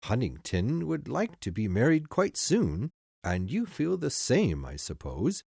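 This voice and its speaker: A woman voicing a kindly older uncle in a read-aloud story, speaking in a low, gentle, questioning way. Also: wildly swooping pitch, unhurried, vocal fry, breathy edge, dry recording, clean studio sound, heavily acted. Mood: fatherly.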